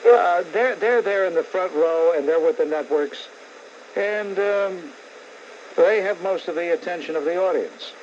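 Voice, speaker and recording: Blunt, sarcastic New York radio host. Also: male voice, high voice, unhurried, darkish tone. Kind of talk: combative call-in radio talk. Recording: dull 1980s AM radio recording, faint hiss.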